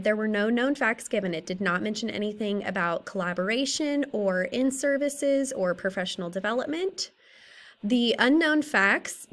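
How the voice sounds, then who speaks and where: A woman in her thirties with a slightly raspy, tired voice, methodically presenting a school case study for a class. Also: on the high side. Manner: steady, methodical, works through a list of questions. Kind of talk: reading a prepared class presentation.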